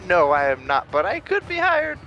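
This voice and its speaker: A man playing a tabletop game with friends, chatting and roleplaying casually in a halting, stammering way. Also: sits high, wildly swooping pitch, dull audio, faint music behind.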